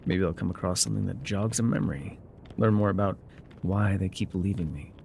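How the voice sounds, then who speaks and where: A man playing a laconic, weathered frontier guide in a calm, haunted, musing ASMR roleplay, with a low gravelly voice and folksy pauses. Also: a little hiss or hum. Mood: wistful, haunted. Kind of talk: immersive ASMR roleplay monologue.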